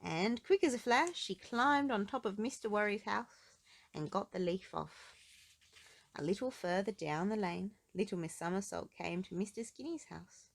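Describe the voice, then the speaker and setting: A woman narrating a children's picture book aloud in an expressive storytelling style. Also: medium pitch, moderate pace, gravelly.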